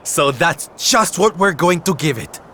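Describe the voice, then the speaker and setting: A man's voice: on the high side, expressive, measured pace, heavy background noise. An actor playing a flamboyant, theatrical male entertainer in a video game cutscene, speaking in a showy, grand, sing-song way. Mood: exuberant. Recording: game music behind.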